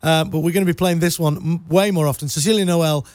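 A male radio host interviewing a guest in a studio, easygoing and polite, a little halting. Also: on the high side, fairly even intonation, a little mellow, no room sound. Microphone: studio microphone.

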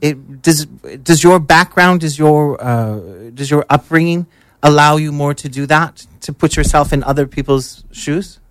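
A talk show host asking questions in a halting way, often restarting. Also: medium pitch. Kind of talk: interview questions.

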